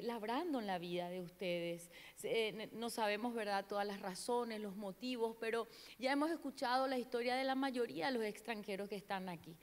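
A middle-aged woman, Portuguese her first language, preaching a sermon in an earnest, searching way, restarting words often, on a handheld mic. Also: a little high. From Paraguay, Brazil border. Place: large church stage. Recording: long church hall reverb.

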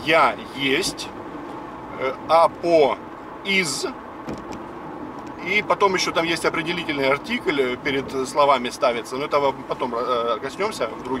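50s guy explaining words while he drives, slightly high voice, dashcam mic far off, loud road noise. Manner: chatty, explains word by word. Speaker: language lesson host. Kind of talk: casual spoken lesson while driving. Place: car on the road. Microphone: dashboard camera.

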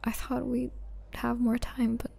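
A woman playing a tender, sad young girlfriend in an intimate ASMR roleplay, speaking softly and hesitantly in a breathy half-whisper. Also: fairly high pitch, completely flat delivery, exaggerated voice. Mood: wistful, awkward. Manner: hesitant, soft, halting.

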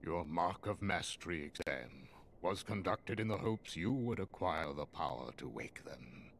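An actor voicing a stern, wise old wizard master in a video game, speaking formally and gravely in measured phrases. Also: male voice, sits low, expressive, fairly bright tone, slight background noise, full character voice. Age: older. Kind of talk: video game cutscene acting.

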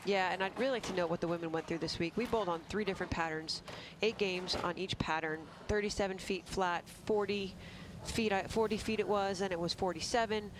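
A man's voice: sits high, steady tone, fairly quick, hardly any pauses, noisy background. A man giving color commentary on a bowling broadcast, breaking down technique and each shot in an explanatory way. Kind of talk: analytical sports commentary.

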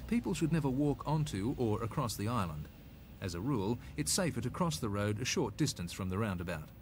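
A man's voice: fairly low pitch, big pitch swings, brisk, slight background noise. A man with an Australian accent narrating a scripted 1980s road safety film in an instructive, slightly folksy way.

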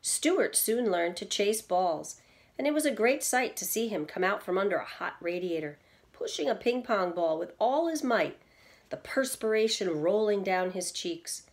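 A middle-aged woman reading aloud from a children's book like a teacher, addressing young listeners in a deliberate, explanatory way. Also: mid-range voice, expressive. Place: indoors at home, seated in an armchair in a small room.

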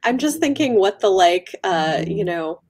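A woman's voice: mid-range voice, expressive, unhurried, a little mellow, breathy edge. A young adult woman co-hosting a book livestream in a casual, musing way, often trailing off. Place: room at home, indoors.

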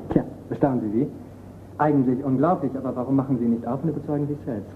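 An actor playing a shrewd young sleuth with a dry, polite, teasing manner in a radio crime drama. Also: male voice, mid-range voice, fairly even intonation, fast, close to an everyday voice. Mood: coolly triumphant. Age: young adult. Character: shrewd, coolly ironic young amateur sleuth. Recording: muffled phone-like radio recording, clear noise.

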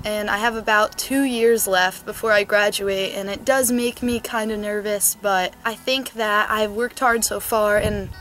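A nineteen-year-old woman talking casually and earnestly to the camera, filmed outdoors in a cold, sunny yard with a camera mic. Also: a little high. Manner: chatty, earnest, quick asides. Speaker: vlogger. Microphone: camera mic, medium shot.

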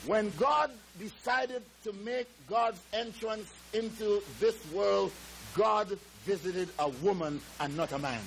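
A man's voice: sits high, vocal fry, a little hiss or hum, rough recording. Older man preaching emphatically. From Trinidad. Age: 70s. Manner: emphatic, drawn-out preaching with shouted exclamations; moves and gestures around the pulpit. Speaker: pastor. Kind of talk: sermon. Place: church stage with drum kit. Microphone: headset mic.

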